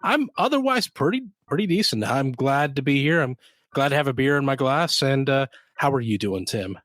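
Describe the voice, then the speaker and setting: A man's voice: medium pitch, expressive, moderate pace, fairly bright tone, dry recording. Stuffed-up guy cohosting a beer show, nasal scratchy voice, dry close mic. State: congested. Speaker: podcast co-host. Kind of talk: casual chat.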